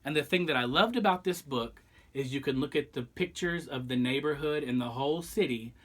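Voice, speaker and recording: A man's voice: medium pitch, fairly quick, a bit bright. A man reading a picture book aloud expressively and theatrically, with chatty asides straight to the listeners. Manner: theatrical, addresses listeners directly. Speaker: storyteller.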